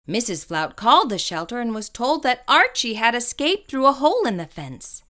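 Bright, animated woman reading a storybook. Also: on the high side, moderate pace. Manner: expressive, carefully phrased for children. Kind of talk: children's picture-book read-aloud.

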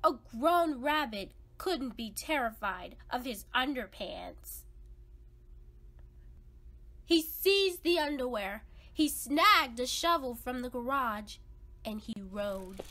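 A woman's voice: sits high, animated, takes it slowly, bright, forceful tone. A woman narrating a children's read-aloud expressively, with dramatic, suspenseful emphasis. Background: background music.

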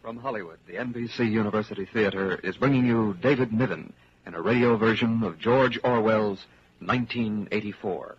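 Vintage network announcer, man, mid-range, markedly raspy sound, formal presentation, lo-fi old radio recording. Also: fairly low pitch, normal speed. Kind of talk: 1940s broadcast announcing.

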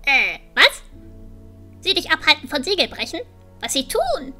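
Cheeky greedy little dragon, broken grammar, super sharp bright voice, music behind, fantasy audio drama. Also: female voice, sits high, huge pitch swings, normal speed, heavily acted. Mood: eager, greedy. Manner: broken childlike grammar, chattering.

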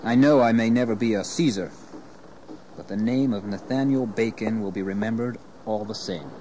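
Man playing ambitious young gentleman, noisy recording. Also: fairly low pitch, moderate pace, close to an everyday voice. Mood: resolute, proud. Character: ambitious, proud young English gentleman settler, resolute husband. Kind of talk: historical audio drama acting. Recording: noisy 1980s audio drama tape.

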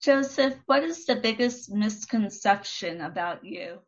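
A woman's voice: medium pitch, lively intonation, unhurried. Woman host, short direct questions, mid voice, kinda dull lo-fi sound. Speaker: podcast host. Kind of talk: interview questions.

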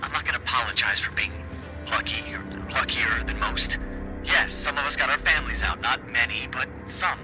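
A man's voice: medium pitch, normal speed, natural voice. A man playing a guarded, dutiful team commander in a video game, speaking in terse, formal radio phrases. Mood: defensive, somber. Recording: game music behind.

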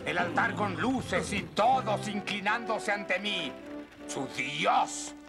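A man's voice: animated, takes it slowly, loud music behind the voice, exaggerated voice. A man voicing a vain, boastful emperor who thinks he is a god, in a cartoon. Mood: vain bossy. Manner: shrill, bossy, boastful.

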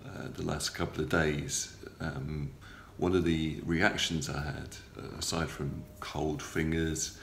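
A middle-aged man talks in a very deep, gravelly voice, halting and matter-of-fact, pausing often on ums and uhs. He has a cold and sounds a little stuffy. The camera-close recording from a small room is roomy, with a faint hum behind him. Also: flat delivery, a bit bright. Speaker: patient video blogger. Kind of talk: casual video diary. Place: small hospital room, indoors. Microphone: phone or camera close up, front-facing.

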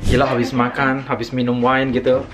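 Excitable young man vlogging over music. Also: mid-range voice, normal speed. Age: young adult. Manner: excitable, exclaiming. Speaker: vlogger, host. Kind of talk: casual travel and food vlog chat. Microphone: handheld camera at arm's length. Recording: loud music behind the voice.

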